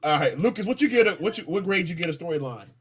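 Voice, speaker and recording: Man, thirties, fairly high pitch, podcast host, casual wrestling chat, slangy, profane. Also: expressive, fast talker, husky. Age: 30s.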